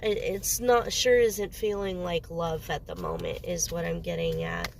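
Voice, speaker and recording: A woman's voice: on the low side, huge pitch swings, unhurried, creaky, slight background noise. A woman doing a casual tarot reading, sniffly with a cold, rambling and often stopping to search for words. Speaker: tarot reader.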